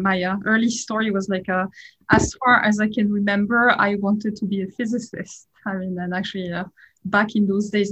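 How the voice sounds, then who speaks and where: A woman in her forties with a French accent, a startup founder giving an interview and rambling with many fillers. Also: medium pitch. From France. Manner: rambling, heavy use of "like" and "uh". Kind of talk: casual interview talk. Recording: dull, lo-fi sound.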